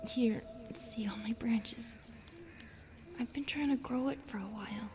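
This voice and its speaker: A shy female forest spirit, acted by a woman, high-voiced and soft, with a breathy delivery that often slips toward a whisper. She is gentle and halting, apologizing for herself as she addresses the listener in a fantasy roleplay. Ambient noise sits behind the voice. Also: close to an everyday voice. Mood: bashful, tender. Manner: hesitant, self-effacing, apologetic, halting.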